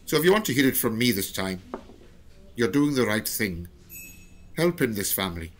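A man's voice: lowish voice, very animated, normal speed, slight background noise. A British man acting a plain-spoken, supportive friend in an audio drama. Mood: teasing, supportive.

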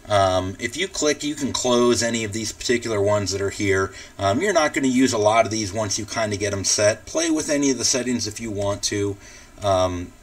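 An American man giving a casual, informal software tutorial as he explains things step by step. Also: fairly low pitch, no echo, distant mic. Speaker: tutorial presenter, radio hobbyist. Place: indoors at a computer.

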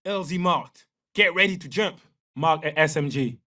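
An actor with a French accent playing a confident young special forces soldier in a military shooter game, giving terse, clipped callouts. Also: male voice, a little high, moderate pace, sharp tone, recorded from a distance. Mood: focused. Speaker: video game operator.

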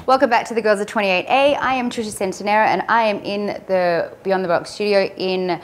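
A young woman hosting a casual studio talk show, bubbly and quick to laugh. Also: mid-range voice. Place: studio with couch and city backdrop.

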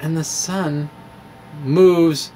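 Middle-aged man, slightly high voice, unhurried, storytelling blog narration, close mic, faint room noise. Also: fairly even intonation, warm tone, rough recording. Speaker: physics blogger. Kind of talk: dramatic reading of a blog with informal asides. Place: small indoor room with bookshelves. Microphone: handheld-style microphone on a stand, close in front. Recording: clear background room noise.

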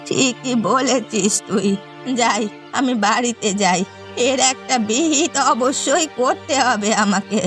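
A grumbling old village matriarch played by a woman in a cartoon drama, high in pitch and full of reproach. She scolds like a wronged mother over a bed of music. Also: slow, fairly bright tone. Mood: indignant. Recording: music behind the voice.

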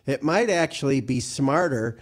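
A man in his mid-sixties walks through retirement rules steadily and precisely. His voice is an ordinary mid pitch. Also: expressive, normal speed. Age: 60s. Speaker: financial advisor. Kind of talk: explanatory advice.

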